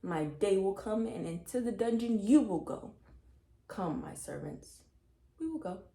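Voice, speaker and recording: An imperious, wicked storybook queen, acted out by a woman reader. She is haughty and cruel, declaiming in loud, furious tones. The sound is roomy and echoey. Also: medium pitch, lively intonation, measured pace, vocal fry. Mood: haughty, menacing.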